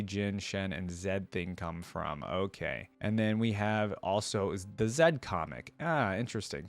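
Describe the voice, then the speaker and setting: A man's voice: deep voice, gravelly, dry recording. A man hosting a reaction video, reading aloud and adding casual, informal commentary as he thinks aloud.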